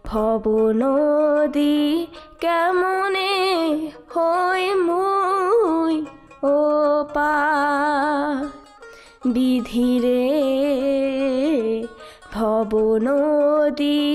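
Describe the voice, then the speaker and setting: Woman playing a shy young village bride, very high voice, slow plaintive folk singing, soft music behind. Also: heavily acted. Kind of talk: rural audio drama acting, folk song.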